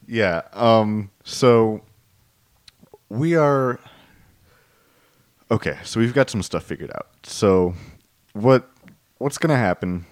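Low-voiced man hosting a comedy podcast. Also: slow pace, pauses often, no room sound, studio quality. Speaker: podcast host.

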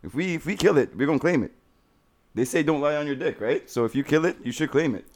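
A man's voice: fairly high pitch, animated, fast. A man co-hosting a casual podcast, joking and blunt with slangy talk. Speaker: podcast co-host.

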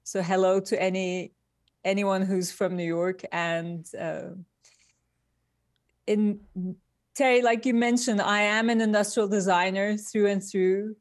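A woman from Turkey, a guest designer, speaking in a thoughtful, unhurried way in a casual interview over a remote call. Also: mid-range voice, steady tone, dry recording, clean studio sound.

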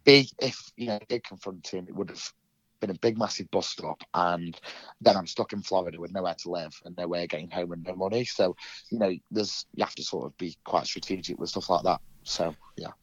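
Young english guy, stuffy nose from a cold, low voice, lots of ums, dry muffled sound. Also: no echo. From England. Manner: halting, many ums and restarts. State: has a cold, nasal. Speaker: interviewee. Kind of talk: casual recounting in an interview.

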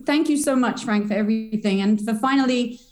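A woman's voice: on the high side, a little fast, a little mellow. A woman, a guest on a podcast, chatting in a bubbly, rambling way full of spiritual talk. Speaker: podcast guest, energy healer. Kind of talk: casual podcast chat.

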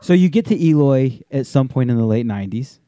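A man's voice: medium pitch, expressive, a little fast, dark tone, no echo, clean studio sound. A man hosting a casual talk show, easygoing and teasing as he asks questions. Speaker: podcast host. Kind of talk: interviewing.

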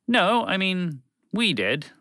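A condescending alien creature from a storybook, voiced by a man. It argues every point in a bright, raised voice and takes offence easily, with pitch that jumps around in lively swings. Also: slow pace, no echo, clean studio sound, full character voice. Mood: insistent pedantic. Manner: condescending, argumentative, casual phrasing.

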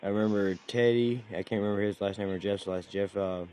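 A man hosting a podcast in a loose, rambling chat, with a fairly low voice. He curses freely and laughs often. Faint hiss and some clicks sit behind him. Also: steady tone, fairly quick, darkish tone. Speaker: podcast host. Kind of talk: casual chat.

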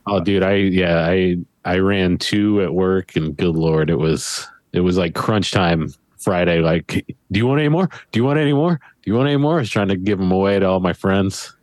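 A man co-hosting a casual podcast, relaxed and quick to laugh, chiming in with short remarks. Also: deep voice, dry recording. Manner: laid-back, laughs easily, short reactions. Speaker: podcast co-host. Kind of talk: casual chat.

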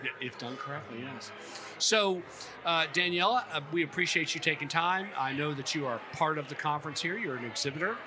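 Higher-voiced man hosting amid background noise. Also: animated. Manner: folksy, effusive, halting. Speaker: podcast host. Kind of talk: on-location interview. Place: busy conference venue at a hotel. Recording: clear background noise on location.

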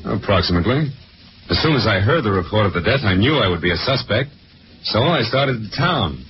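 An unruffled, mock-polite American villain of 1940s radio, smug and suave. His voice is low. The aged recording is muffled and clearly noisy. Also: animated, a little fast. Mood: self-assured. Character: smooth, smug male crook, coolly self-assured. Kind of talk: 1940s radio drama acting.

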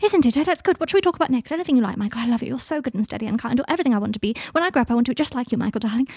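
A woman's voice: a little high, lively intonation, rapid-fire, darkish tone, husky, no echo, clean studio sound, voice pushed up. A woman voicing a brittle, giddy young man on drugs who gushes theatrically in an audiobook story. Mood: brittle, gushing. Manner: theatrical, gushing, calls people darling. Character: giddy, brittle, high-pitched drugged young man, falsely gushing.

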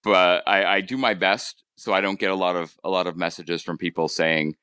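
Male podcast host with a Rhode Island accent, low pitch, bright crisp tone, halting repeats, dry close sound. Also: steady tone, no echo. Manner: halting, repeats words, hedging fillers. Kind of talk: casual podcast interview.

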